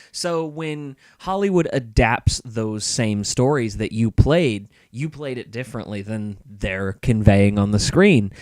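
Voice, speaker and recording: A man's voice: mid-range voice, a little mellow, no echo. Dry-sounding man chatting as podcast guest. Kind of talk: casual chat.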